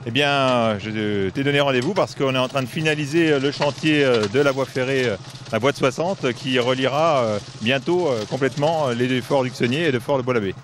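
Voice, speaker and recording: A man's voice: medium pitch, fairly quick, slight background noise. A man from a heritage association, outdoors in a forest, explaining the site steadily with many filler hesitations. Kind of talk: explanatory interview answers.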